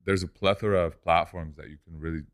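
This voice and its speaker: Deep-voiced young man, laid-back. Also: quick pace. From Lebanon. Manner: relaxed, says "dude" and "man" often. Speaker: guest, e-commerce entrepreneur. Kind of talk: casual podcast interview.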